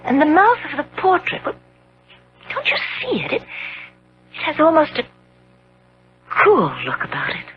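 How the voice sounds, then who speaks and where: A woman's voice: high voice, huge pitch swings, unhurried, pauses often, heavily acted. An actress playing a devoted, anxious young fiancée in a 1970s radio drama, earnest and hesitant in her melodramatic delivery. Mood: uneasy. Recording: dull, noisy old radio broadcast.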